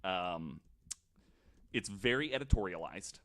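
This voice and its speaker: A man with a fairly low voice hosts a podcast, explaining researched material in conversational style. His delivery is halting and informal, as if talking to friends across a table. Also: lively intonation, bright, forceful tone. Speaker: podcast host presenting a researched story. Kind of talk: casual explanatory podcast talk.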